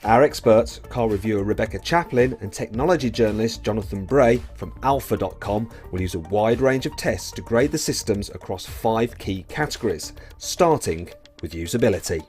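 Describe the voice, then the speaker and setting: A man reading a scripted voice-over for a car review video in a clear announcer style. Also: fairly low pitch, animated, moderate pace, few pauses, darkish tone, faint music behind. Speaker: video presenter.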